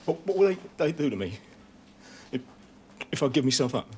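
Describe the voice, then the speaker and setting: An actor playing a desperate, volatile gunman who snarls and shouts, and stammers when scared. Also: on the high side, very animated, brisk, a little hiss or hum. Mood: frightened. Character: cornered desperate male gunman, volatile and hunted.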